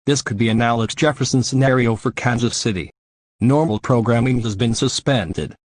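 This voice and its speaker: A man's voice: medium pitch, normal speed, lightly acted. Man playing an urgent male news anchor. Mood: grave, urgent. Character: male local TV news anchor, urgent, warning viewers of killer. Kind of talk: scripted emergency news bulletin.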